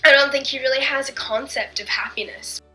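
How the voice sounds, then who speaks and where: Bright-voiced young woman, candid and hushed. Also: high-pitched, a little fast, soft background music. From born in Canada, raised in Bangladesh. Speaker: interviewee. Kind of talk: personal documentary interview.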